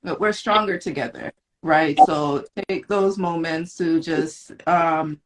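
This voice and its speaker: A woman from Jamaica, a guest on a live stream, giving calm, encouraging wellness advice. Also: sits low, low-quality recording. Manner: calm and measured, with warm encouragement and "um" pauses.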